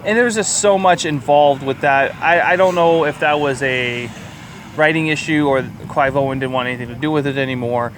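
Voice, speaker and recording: A man's voice: normal speed, fairly bright tone. A man co-hosting a podcast, casually reviewing a movie in a crude, joking, profane way. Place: outdoors or in a car near a highway. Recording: outdoor traffic noise from nearby highway. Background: highway traffic.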